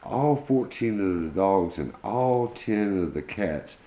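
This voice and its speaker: A man with a low, very mellow voice tells a homespun bedtime story. His phrasing is folksy and off the cuff, and the room adds a noticeable echo around him. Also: animated, slow. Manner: folksy, colloquial grammar. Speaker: pet channel host telling a bedtime story. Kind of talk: informal improvised children's storytelling.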